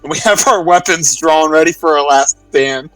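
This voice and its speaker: A man's voice: on the high side, moderate pace, fairly bright tone, no echo. A man chatting casually as a player in a tabletop game. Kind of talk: casual in-character game chat. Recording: distant dry mic, music behind.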